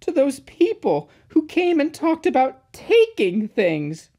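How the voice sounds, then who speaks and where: Narrator putting on a little kid train engine, high and swoopy, anxious, excitable, childrens story. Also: takes it slowly, full character voice. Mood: miserable. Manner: pleading, gushing, singsong.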